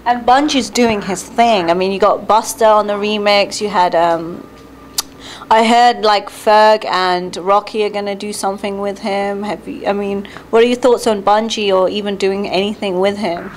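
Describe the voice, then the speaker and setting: A woman's voice: medium pitch, fairly even intonation, moderate pace, a little hiss or hum. A woman interviewing someone in a casual, slangy, chatty way.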